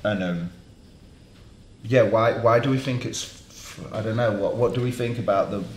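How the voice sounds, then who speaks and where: A man with a lowish voice chairs a fashion panel in a dark studio, circling his points with repeated words and false starts. The room rings with echo and a faint hiss sits under him. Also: moderate pace. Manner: rambling, many restarts. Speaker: panel host, fashion journalist. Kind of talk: panel discussion. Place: dark studio around a table.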